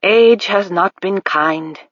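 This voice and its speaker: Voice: mid-range voice, takes it slowly, dry recording. A narrator voicing a nervous, humble male constable in his thirties who talks formally and apologetically, in a dull, dry recording. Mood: apologetic.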